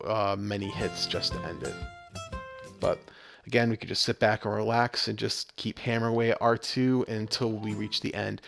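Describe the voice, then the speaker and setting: A man's voice: fairly low pitch, vocal fry. An American man giving a casual, informal, instructional video game walkthrough as the guide. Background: game music with guitar.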